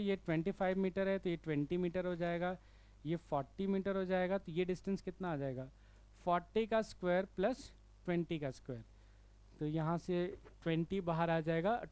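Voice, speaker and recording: A man with an Indian accent and a fairly high, smooth voice teaches physics in an explanatory lesson. He goes step by step and keeps checking in with the listener. The sound is close and dry. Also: darkish tone, dry recording. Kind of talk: explanatory problem-solving lesson.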